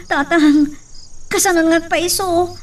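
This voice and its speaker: A woman's voice: very high voice, steady tone, measured pace, a little hiss or hum. A woman playing an anxious young farm daughter in a radio drama, with an Ilocano accent. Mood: anxious, questioning. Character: anxious young unmarried daughter of poor farming family. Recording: distant microphone sound.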